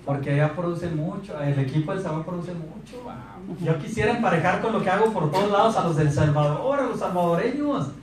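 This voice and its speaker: A man in his thirties with a Mexican accent, giving a lively motivational sales talk on a handheld mic and asking the audience questions. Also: high-pitched, fairly quick, distant mic, a crowd in the background. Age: 30s. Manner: animated, asks the audience questions. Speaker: sales speaker. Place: meeting room in front of a projector screen. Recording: echoey room recorded from afar.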